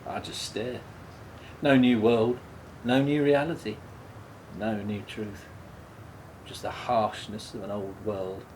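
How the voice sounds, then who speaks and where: An actor playing a subdued, guilt-ridden man in a radio play, speaking haltingly and repeating his words. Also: fairly low pitch, steady tone, darkish tone, slight background noise, rough recording. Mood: morose, weary. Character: guilt-ridden, self-blaming man, an ex-prisoner, subdued and troubled. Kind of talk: radio play dialogue, naturalistic.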